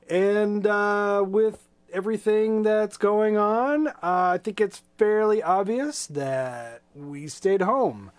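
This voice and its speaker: A man's voice: high-pitched, smooth. A middle-aged American man hosting a children's storytime stream with casual, friendly, rambling and hesitant talk. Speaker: volunteer storytime host for children. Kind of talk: casual livestream chat.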